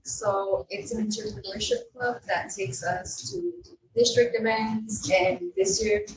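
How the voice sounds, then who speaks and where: Teenage young woman, student interview guest. Shy, halting, animated pitch, distant from the mic. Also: slight background noise. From El Salvador, moved to the US at five. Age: teens. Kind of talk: personal introduction in an interview. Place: small studio room, live recorded show. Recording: echoey room, distant mic.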